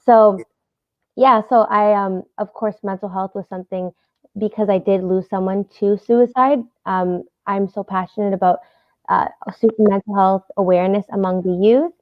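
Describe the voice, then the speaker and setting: Young woman, pageant guest, earnest, chatty with fillers, mid pitch, dry slightly muffled sound. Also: fairly even intonation, moderate pace, no echo. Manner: earnest, many fillers, easy laughs. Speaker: pageant titleholder, interview guest. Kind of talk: casual interview answers.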